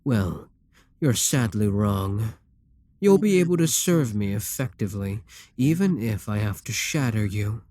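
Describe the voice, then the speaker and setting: A man playing an arrogant, seductive demon king in a dramatic ASMR roleplay, speaking in a deliberate, commanding, theatrical way. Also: on the low side, lively intonation, warm tone, dry recording. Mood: cold, domineering.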